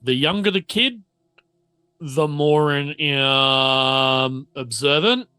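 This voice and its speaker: A man narrating a tabletop game as game master, setting scenes in a halting, conversational way. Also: a little high, bright, forceful tone, clean tone, no room sound.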